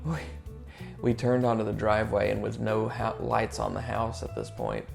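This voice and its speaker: A man casually telling a family memory in a halting, anecdotal way, as one relative sharing stories with others. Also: on the low side, lively intonation, gravelly, faint music behind. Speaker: family member telling a story.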